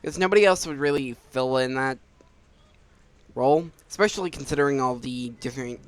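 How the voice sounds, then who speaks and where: A man's voice: medium pitch, brisk. A man giving informal gameplay commentary, stumbling over his words now and then in a self-deprecating way. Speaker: gaming video host.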